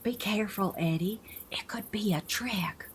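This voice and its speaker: A woman's voice: medium pitch, normal speed, noisy background, voice pushed up, close to an everyday voice. A woman playing a loyal, bossy best-friend sidekick horse in a comic radio play. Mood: anxious. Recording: music behind the voice.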